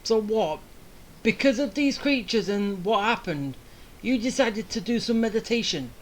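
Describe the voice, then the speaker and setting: A woman's voice: mid-range voice, lively intonation, a little slow, rough recording. A woman streaming a video game, giving casual commentary in short, muttered reactions.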